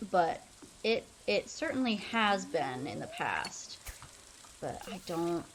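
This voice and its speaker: A woman's voice: medium pitch, sing-song, extremely slow, slight background noise, low-quality recording. A young woman streaming a game, chatting casually in a loose, rambling way full of fillers. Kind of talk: casual chat while playing a game.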